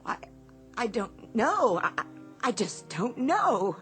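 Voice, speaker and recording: Timid, cautious wife, slow and fretful with lively pitch, radio drama. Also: female voice, faint music behind, exaggerated voice. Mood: frightened, uneasy. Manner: hesitant, fretful. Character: anxious, distrustful shopkeeper's wife, timid and cautious.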